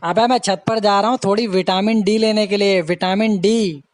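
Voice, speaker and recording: A man playing a cheeky, deadpan husband who protests plainly in a comedy skit. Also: high voice, normal speed, close to an everyday voice.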